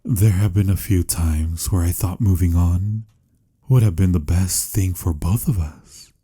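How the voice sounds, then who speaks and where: A deep, very husky male vampire, acted by a man in a romantic audio roleplay. He speaks slowly and intimately, with a soft, mellow tone that slips into whispers. His manner is tender and teasing, full of old-fashioned endearment. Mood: tender, yearning. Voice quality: husky, breathy, slipping into whispers. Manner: intimate, soothing, slightly formal endearments.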